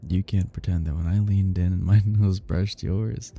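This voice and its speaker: A man's voice: sits low, fairly even intonation, normal speed, creaky, dark tone, breathy edge, faint music behind, full character voice. A man playing a cocky, flirty best friend in an intimate romantic ASMR roleplay, murmuring teasingly and slipping into whispers.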